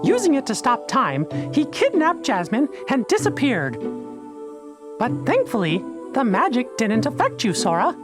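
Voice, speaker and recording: A man voicing a kindly, earnest mouse in an animated game, in a squeaky falsetto with a gentle storytelling manner. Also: measured pace, over music, full character voice. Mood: recounting. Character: kindly, earnest male cartoon mouse leader. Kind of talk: animated game character acting.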